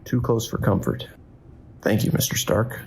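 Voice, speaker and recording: Guy acting tired steady 911 dispatcher, low creaky voice, calm n measured, audio drama. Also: slight background noise. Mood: weary, reflective. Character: steady, weary male police emergency dispatcher.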